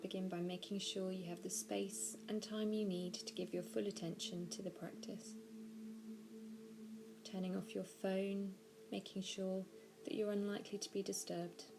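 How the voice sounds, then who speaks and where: A woman narrating a guided body scan meditation, giving gentle, measured instructions slowly with pauses. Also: mid-range voice, fairly even intonation, gravelly. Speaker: mindfulness practitioner guiding a meditation.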